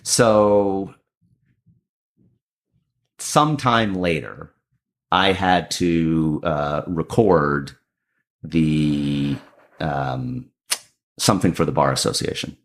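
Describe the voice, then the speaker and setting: A man with a deep voice, a comedian and lawyer telling a self-deprecating story as a podcast guest. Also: drawn-out words, long pauses. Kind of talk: casual storytelling.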